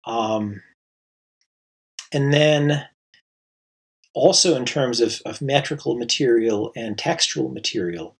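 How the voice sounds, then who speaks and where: A man being interviewed as a composer, answering thoughtfully with many pauses, fillers and restarts. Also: medium pitch, gravelly, husky. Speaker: composer guest.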